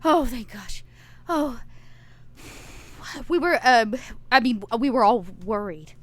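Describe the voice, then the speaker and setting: A woman's voice: a little high, lively intonation, slow pace. A woman playing a flustered, defensive woman driver in a tabletop game, stammering and blurting her words. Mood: anxious relief. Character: Nero, flustered, defensive woman driver of a monster-hunting cell.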